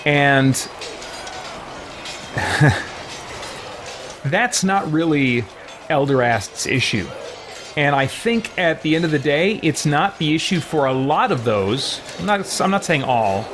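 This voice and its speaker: A man's voice: fairly high pitch, lively intonation, slow, pauses often, background noise. A man giving casual live-stream game commentary, rambling as he thinks aloud and acts out lines. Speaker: streamer playing a roleplay game. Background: music.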